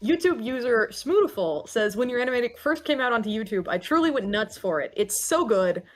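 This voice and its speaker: A woman's voice: fairly high pitch, lively intonation, a little fast. A woman co-hosting a livestream, playfully teasing and reading viewer comments aloud. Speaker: co-host reading chat. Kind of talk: casual livestream chat.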